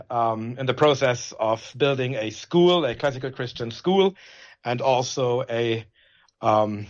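German-accented male pastor, slightly muffled audio. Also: mid-range voice. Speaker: pastor, interview guest. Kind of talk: conversational interview answers.

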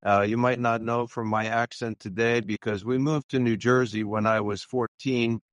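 A man's voice: medium pitch, little pitch movement, moderate pace, no echo. An older man, a pastor, sharing his testimony earnestly with frequent fillers and restarts. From Louisiana, US. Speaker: pastor, guest. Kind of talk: personal testimony in a radio interview.

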